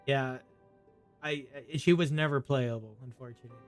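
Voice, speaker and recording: A man's voice: medium pitch, fairly quick. A man giving casual gameplay commentary as a streamer, rambling and often repeating himself. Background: faint game music.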